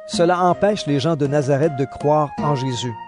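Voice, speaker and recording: A man giving scripted narration for an audio Bible drama in a measured storytelling manner. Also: on the high side. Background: background music.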